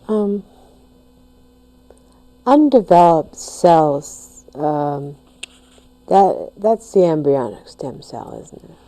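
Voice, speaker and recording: A woman hosts a small local talk show and asks her guest plain questions. She speaks slowly, with a very low voice that carries some vocal fry, and hesitates over her wording. Her tone is dark and mellow. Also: sing-song, low-quality recording. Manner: slow, simple questions with hesitations. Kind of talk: interview hosting.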